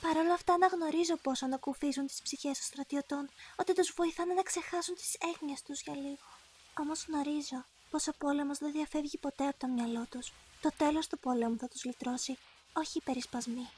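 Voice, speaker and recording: A woman playing a gentle, sheltered young princess in an anime fan dub, speaking politely, formally and earnestly. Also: high-pitched, steady tone, a little hiss or hum, close to an everyday voice. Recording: music behind the voice.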